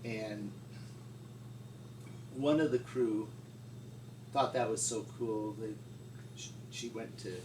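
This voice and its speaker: A middle-aged man reminiscing informally as an interviewee, in a halting, folksy way. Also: lowish voice, fairly even intonation. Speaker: paleontologist interviewee. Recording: distant mic, echoey room, loud noise.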